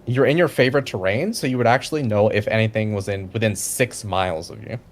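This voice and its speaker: A man's voice: lowish voice, fast talker. A man acting as game master, casually explaining rules and scenes to players.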